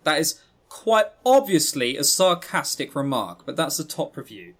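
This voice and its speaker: A man's voice: on the high side, big pitch swings, moderate pace. A man co-hosting a podcast in casual review chat, with a blunt, dryly joking manner. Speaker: podcast co-host. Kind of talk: casual review chat about visual novels.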